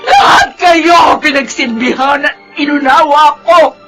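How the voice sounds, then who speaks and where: High-voiced anguished Filipino mother. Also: unhurried, a bit bright, shouting, poor audio. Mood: tearful outrage. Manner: tearful, emphatic delivery. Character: anguished, long-suffering mother, wronged and self-sacrificing. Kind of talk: radio drama acting. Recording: radio drama with music underneath.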